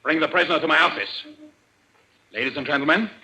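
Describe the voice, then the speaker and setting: An actor playing the coldly menacing president of a criminal society in an old-time radio suspense play, formal and authoritative. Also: male voice, fairly high pitch, fast, bright, forceful tone, a little hiss or hum, low-quality recording. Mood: stern.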